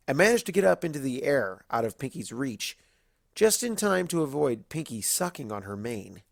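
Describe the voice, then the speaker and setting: A male narrator reads a story from a script. His voice is middling in pitch and very dry, with little room sound. The delivery is that of storytelling. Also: vocal fry, no echo. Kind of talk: scripted storytelling, fan fiction reading.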